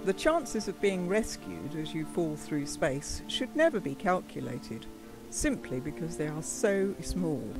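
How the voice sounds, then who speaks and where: A woman narrating a comic science-fiction radio drama from a script, in a dry storytelling manner. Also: deep voice.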